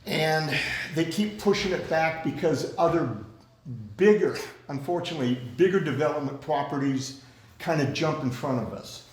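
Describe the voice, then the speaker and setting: A man with a loud voice, plain-spoken, chairing a community club meeting. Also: fairly high pitch, moderate pace, reverberant, poor audio.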